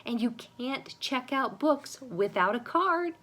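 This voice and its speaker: A woman voicing a loud, boisterous boy classmate who bellows his lines slowly in a children's storybook. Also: high voice, lively intonation, voice pushed up, exaggerated voice. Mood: loud, excited. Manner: bellowing, drawn-out.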